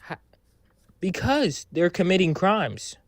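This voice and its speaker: A man calling in to a live debate stream, halting and often repeating his words as he tries to cut in. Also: high voice, very animated.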